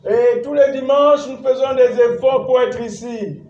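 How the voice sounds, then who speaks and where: A man's voice: very high-pitched, a little slow, darkish tone. A man preaching a sermon as pastor, exhorting and calling for responses from the congregation. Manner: exhorting, repeats phrases, calls and responses with congregation. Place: church. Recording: echoey church hall, distant mic, dull sound.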